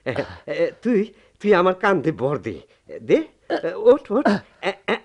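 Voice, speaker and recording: An actor playing a steady, protective village friend in a Bengali radio drama, speaking urgently and expressively in a rural Bangladeshi dialect. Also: male voice, high-pitched, slow pace, muffled recording, full character voice.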